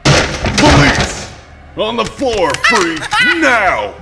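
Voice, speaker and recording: A man's voice: sits high, wildly swooping pitch, drawn-out words, creaky, full character voice. A man playing a brash, hot-headed police detective in a motion comic, coarse and blustering in his talk. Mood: shouting, furious. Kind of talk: dramatic motion comic acting. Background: music.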